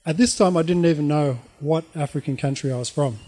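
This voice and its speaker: A middle-aged man with an Australian accent tells his life story informally, in a halting, reflective way. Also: a little high, mellow.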